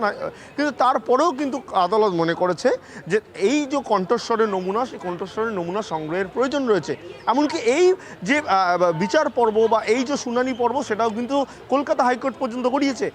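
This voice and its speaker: A man with a West Bengal Bengali accent giving an emphatic, unscripted live TV news report, often restarting and repeating phrases. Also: very high-pitched.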